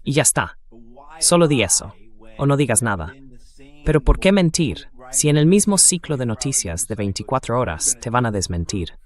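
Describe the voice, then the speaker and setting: A man's voice: medium pitch, very animated, normal speed. A synthetic AI man's voice reading a translated voice-over of political interview commentary in a conversational style.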